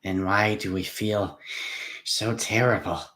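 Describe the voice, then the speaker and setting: A man's voice: lowish voice, steady tone, slow pace, a bit bright. A man voicing a glum, subdued wounded male vampire.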